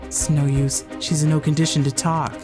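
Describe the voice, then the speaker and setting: A woman voicing an earnest, anxious young man in a horror visual novel, halting when alarmed. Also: deep voice, flat delivery, music in the background, close to an everyday voice. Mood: alarmed, worried.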